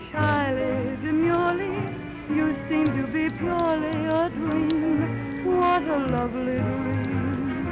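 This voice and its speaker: A woman's voice: sits high, low-quality recording. A woman singing a popular song in the style of a 1930s radio singer. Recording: vintage radio recording with music behind.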